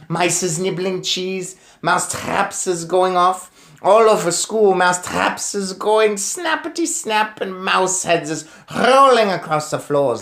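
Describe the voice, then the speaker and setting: A woman voicing a gloating, bossy old female witch leader who shouts in broken grammar. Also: lowish voice, pitched above the performer's own voice. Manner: shouting, ungrammatical, triumphant.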